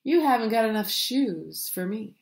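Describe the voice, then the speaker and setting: A woman voicing a boastful, greedy male tiger in a children's story, with drawn-out, deliberate menace. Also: fairly high pitch, lively intonation, creaky. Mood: scornful.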